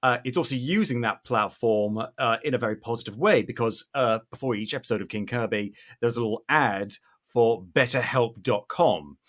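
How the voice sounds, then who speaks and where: A man's voice: medium pitch, moderate pace, fairly bright tone. A man from the UK, hosting an interview in a casual, effusive way and swearing freely. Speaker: podcast host. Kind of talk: casual, enthusiastic interview chat.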